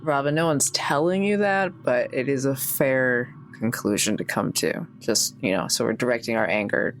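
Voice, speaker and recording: A woman playing a tabletop game, chatting casually and reasoning things out in a measured, informal way. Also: extremely low pitch, soft background music. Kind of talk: casual in-character game chat.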